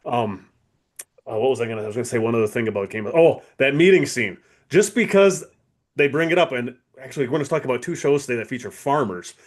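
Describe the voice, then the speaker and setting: A man with a medium-pitched voice talks casually as a podcast co-host reviewing TV. He thinks out loud in a halting way, doubling back to correct himself. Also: animated.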